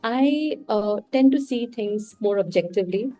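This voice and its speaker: A woman's voice: mid-range voice, animated, unhurried, dark tone, no room sound, soft background music. A woman from India with an Indian English accent, a podcast guest speaking candidly and reflectively. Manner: reflective and candid, talks through her thoughts. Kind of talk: casual interview conversation.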